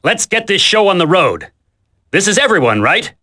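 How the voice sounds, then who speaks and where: Brash, forceful male squad leader. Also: high voice, big pitch swings, normal speed, exaggerated voice. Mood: brisk. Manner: brash, slangy, bossy. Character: gruff, impatient, wisecracking male squad leader. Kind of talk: video game voice acting.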